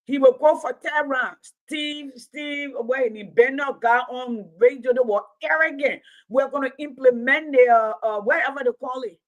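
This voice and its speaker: A woman's voice: high-pitched, unhurried, hardly any pauses. Impassioned woman in her fifties. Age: 50s. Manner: preaching, repetitive rhetorical build-ups. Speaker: commentator and Pan-Africanism teacher. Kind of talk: impassioned livestream commentary.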